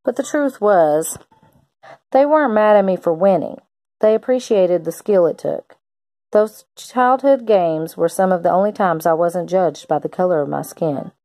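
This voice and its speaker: A woman's voice: on the low side, moderate pace, mellow, no echo. A woman reading a children's picture book aloud like a teacher, expressively acting out the characters' lines.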